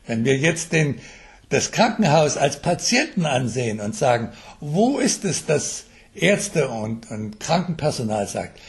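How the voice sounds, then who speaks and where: An older man answering interview questions as an expert, explaining in a halting way with English jargon mixed in. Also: medium pitch, very animated. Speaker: mobile health expert being interviewed. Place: telephone interview.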